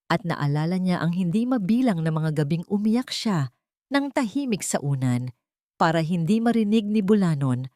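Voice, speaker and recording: A woman with a slightly low voice narrates a dramatic tale. Her delivery is solemn and measured, set out in short phrases. The sound is dry and close, with very little room to it. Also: darkish tone, no echo. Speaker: story narrator. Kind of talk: scripted dramatic story narration.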